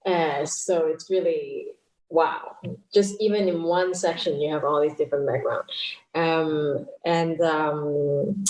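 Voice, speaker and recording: A Cantonese-speaking woman from chats casually in English, her voice sitting a bit low. She laughs often and pokes fun at herself. The room around her rings with echo. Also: fairly even intonation, slow pace, a little mellow. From Hong Kong. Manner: chatty, laughs often, self-deprecating. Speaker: guest musician. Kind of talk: casual interview chat.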